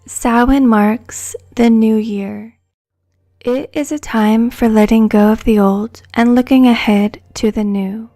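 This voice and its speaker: A woman giving a soft-spoken, hushed and soothing ASMR narration from a script. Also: fairly high pitch, fairly even intonation, soft background music. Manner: hushed, slow, soothing delivery.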